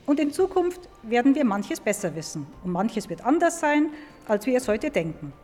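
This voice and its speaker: A woman's voice: fairly high pitch, big pitch swings, normal speed, a little mellow. A woman speaking as a museum expert, explaining things to young listeners in a didactic way. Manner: clear, didactic phrasing. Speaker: museum paleontologist, expert guest. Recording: echoing hall, music behind.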